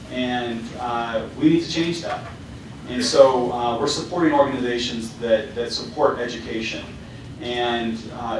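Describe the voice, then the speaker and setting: A man's voice: medium pitch, recorded from a distance, slight background noise. A man giving a casual presentation as a company founder, with many fillers and restarts. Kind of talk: presentation to students. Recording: very echoey hall, voice from afar.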